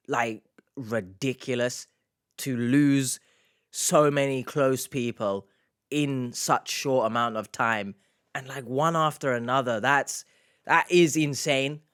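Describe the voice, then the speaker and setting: A man hosting a reaction video, talking through a clip with animated, exasperated commentary that mixes sarcasm and sympathy. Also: medium pitch, dry recording, studio quality. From England. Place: indoor room under lights.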